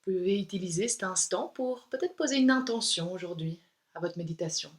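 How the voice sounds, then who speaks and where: A woman yoga instructor leading a guided meditation in a calm, soothing, unhurried way with pauses. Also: fairly low pitch.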